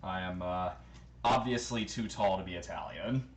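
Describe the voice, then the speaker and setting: A man's voice: low-pitched, normal speed, a little hiss or hum. Joking man cooking on a livestream. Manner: loose and joking, swears casually, counts numbers aloud. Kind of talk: casual live cooking chat. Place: home kitchen, indoors. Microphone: handheld phone camera.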